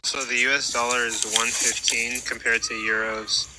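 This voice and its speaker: A man's voice: mid-range voice, level tone, normal speed, heavy background noise. A man rambling hesitantly on a group call from an airport. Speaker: group chat member.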